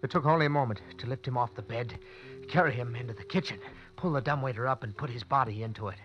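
An actor playing a nervous, desperate young college student, urgent and tense in a vintage radio drama. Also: male voice, medium pitch, fast, highs cut off, soft background music, lightly acted. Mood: tense narration. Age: young adult. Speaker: radio drama lead and narrator. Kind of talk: 1940s-50s radio acting with first-person narration.

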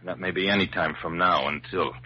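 An actor playing a gruff, suspicious, threatening gangster with tough street talk in an old radio drama. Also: male voice, low-pitched, steady tone, brisk. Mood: menacing. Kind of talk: 1940s radio drama acting. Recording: muffled old radio recording.

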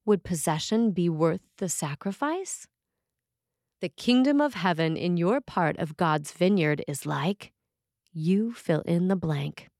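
Woman priest reading a written sermon, lower-pitched, deliberate and measured, recorded very dry. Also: a little mellow, no room sound. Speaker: preacher, priest. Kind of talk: scripted sermon read aloud.

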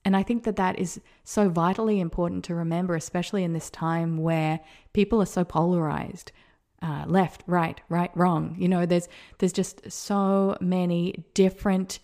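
A woman's voice: lowish voice, steady tone, moderate pace, a little mellow. A woman in her forties from New Zealand, hosting a podcast and telling a personal story in a reflective, casual way. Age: 40s. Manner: reflective, storytelling with light laughs. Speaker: podcast host. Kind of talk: casual solo monologue.

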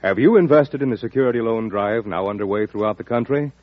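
A man's voice: medium pitch, fast talker, no room sound. A man working as a radio announcer, reading a scripted 1940s public service appeal in a formal, persuasive way. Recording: dull, dry vintage radio recording.

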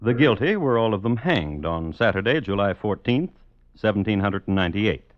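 An actor playing a dry, deadpan crime historian who hosts and narrates an old radio series with precise, formal wording. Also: male voice, lowish voice, normal speed, dry recording, highs cut off, lightly acted. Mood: dry, wry. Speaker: host and narrator. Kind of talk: 1950s radio scripted narration.

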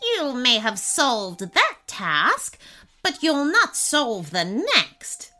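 A woman's voice: high-pitched, wildly swooping pitch, takes it slowly, pushed and bright, voice pushed up, heavily acted. A woman voicing a tiny wicked male storybook imp, gleeful and taunting as he gloats. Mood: enraged. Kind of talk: storybook character voice.

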